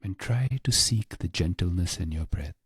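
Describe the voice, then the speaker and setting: A man narrating a scripted guided meditation in a hushed, breathy voice, speaking in short phrases with long pauses. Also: no echo, clean studio sound. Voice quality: low, breathy, husky voice with creak.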